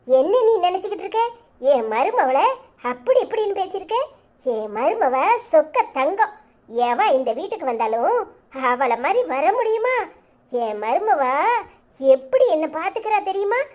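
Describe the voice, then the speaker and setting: A woman voicing a sharp-tongued old grandmother who scolds loudly in rapid tirades. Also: high voice.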